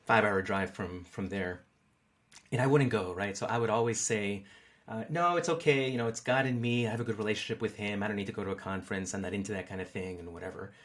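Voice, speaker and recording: A man's voice: on the low side, fast talker. A middle-aged man talking casually as an interview guest, often repeating words as he searches for phrasing. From born in Peru, raised in the United States. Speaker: interview guest, market researcher.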